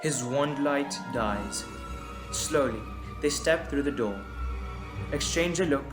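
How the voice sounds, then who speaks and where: A man reading scripted dramatic narration in a deliberate, suspenseful manner. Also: medium pitch, expressive. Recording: echoey, music underneath. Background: music.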